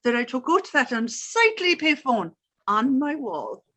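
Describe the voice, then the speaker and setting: A fussy, talkative landlady with a high, lilting voice that swings widely, as a woman plays her. She chatters on in old-time radio comedy fashion. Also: sing-song, a little slow, bright, forceful tone, exaggerated voice. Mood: chatty. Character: fussy, talkative Irish landlady, middle-aged.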